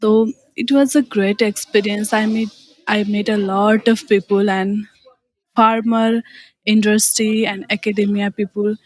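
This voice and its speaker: A woman's voice: medium pitch, level tone, measured pace, a little mellow, poor audio. A young woman with a Nepali accent, giving informal answers as an interviewee. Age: young adult. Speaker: interviewee, graduate student.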